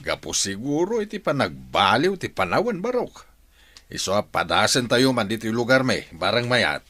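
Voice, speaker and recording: An actor playing a kindly, welcoming older village uncle in a radio drama. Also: male voice, lowish voice, big pitch swings, moderate pace, bright, forceful tone, heavily acted.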